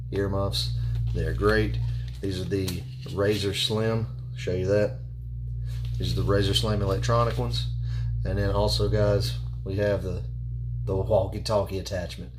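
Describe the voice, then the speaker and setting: A man giving a casual, unscripted product review in a halting, plainspoken and repetitive way. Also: low voice, flat delivery. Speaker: product reviewer and firearms instructor. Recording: clear background noise.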